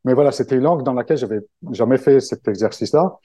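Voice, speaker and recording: Italian guy speaking french as his second language, lots of euhs and restarts, dark mellow tone, dry close sound. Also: mid-range voice, fast, husky, dry recording. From Italy. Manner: hesitant, many fillers and restarts. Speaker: guest, book-summary creator. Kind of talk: casual interview conversation.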